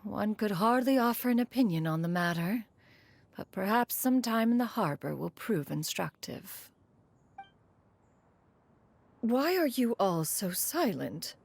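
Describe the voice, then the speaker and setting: A woman's voice: mid-range voice, lively intonation, normal speed, creaky, a little mellow. An actress playing an ancient, proud female immortal mentor in a video game, speaking in a lofty, formal, archaic way. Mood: pensive. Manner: lofty, formal archaic speech, calling herself "one".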